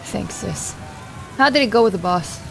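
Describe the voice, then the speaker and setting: A woman's voice: medium pitch, expressive, normal speed, slight background noise, natural voice, low-quality recording. An actress playing a sassy, supportive young woman, teasing and casual in an audio drama.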